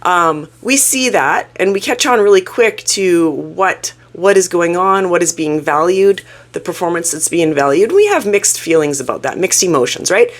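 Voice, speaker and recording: A woman with a fairly low voice that swings widely in pitch as she talks. She is croaky from a cold and speaks in an earnest, reflective, personal way, like a channel host chatting straight to her viewers. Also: animated, normal speed, few pauses. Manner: earnest, reflective, self-disclosing. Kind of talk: casual talking-head explainer.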